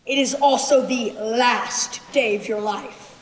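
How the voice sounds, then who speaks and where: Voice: mid-range voice, a little hiss or hum, lightly acted. A young teenager acting a bitter, imperious Sultan in a school stage play. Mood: cold, commanding. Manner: imperious, deliberate. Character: embittered, cruel, melancholy Sultan, commanding and threatening. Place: school stage in a large hall. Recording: echoey large hall.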